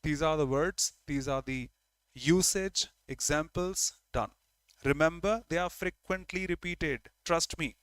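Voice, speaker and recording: A man's voice: a little high, measured pace, fairly bright tone, clear, resonant tone, no echo. A man with an Indian English accent, a Hindi speaker, teaching an online grammar lesson and explaining step by step while repeating key words.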